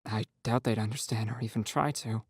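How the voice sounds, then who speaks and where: A guarded, troubled teenage boy, as a man reading aloud puts him on. He talks in a subdued, reluctant way with a gravelly rasp, recorded close and dry. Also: fairly low pitch, steady tone, brisk, no echo.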